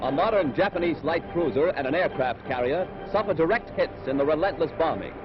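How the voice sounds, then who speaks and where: A man's voice: on the high side, a little fast, muffled recording. An American man narrating a scripted 1940s newsreel in a dramatic, emphatic announcer's delivery, on an old film soundtrack. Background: loud music, battle sound effects.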